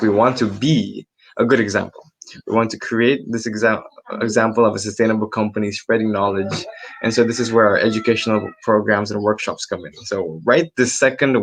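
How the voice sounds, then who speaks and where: A man's voice: fairly low pitch, rough recording. A man from Indonesia, a research assistant, giving a casual online presentation with many ums and restarts over a computer mic. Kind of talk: presenting a webinar talk.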